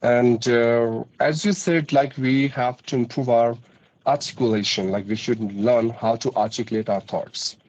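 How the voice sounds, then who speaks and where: A man's voice: medium pitch, fairly even intonation, a little slow, darkish tone, highs cut off. A man interviewing a learner for spoken practice, asking long questions with hesitant fillers. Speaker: English teacher interviewing a learner.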